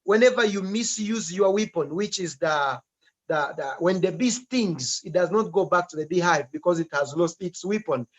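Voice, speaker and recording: A man hosting a live-streamed talk, urging viewers to comment in an animated way. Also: high voice, moderate pace. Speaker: host. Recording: dull lo-fi sound.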